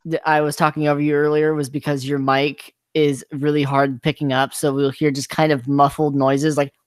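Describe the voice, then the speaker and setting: A man's voice: fairly high pitch, level tone, moderate pace, clean tone, no echo, studio quality. A young man co-hosting a casual podcast chat, stammering and repeating words, chuckling often. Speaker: podcast co-host.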